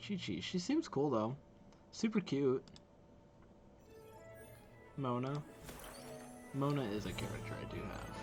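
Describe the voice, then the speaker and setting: Casting: male reaction streamer, medium pitch, easygoing casual chat with music behind. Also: sing-song, slow pace, pauses often, a little mellow. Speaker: video creator reacting to game trailers.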